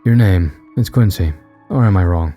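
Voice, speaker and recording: A man voicing a menacing shadow demon in a storybook, coldly mocking, speaking slowly with deliberate, bored emphasis. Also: sits low, mellow, soft background music. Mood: bored, threatening. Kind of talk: audio storybook character voice.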